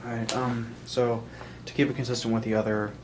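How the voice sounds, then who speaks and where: A man running the camera who gives practical directions in a quick, hesitant way. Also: mid-range voice, little pitch movement, noisy background. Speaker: camera operator.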